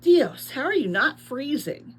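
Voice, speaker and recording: A woman's voice: high voice, very animated, measured pace, pitched above the performer's own voice. A woman reading aloud as a short, grumbling, awkward teenage boy demigod, sometimes hesitant. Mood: amused disbelief.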